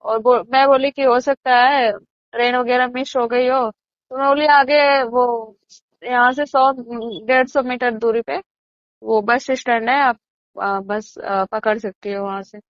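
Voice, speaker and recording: Woman eyewitness, higher-pitched voice, conversational recounting, muffled phone-call audio, dry sound. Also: fairly even intonation, unhurried, no echo. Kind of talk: casual recounting of events. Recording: dull lo-fi phone call recording.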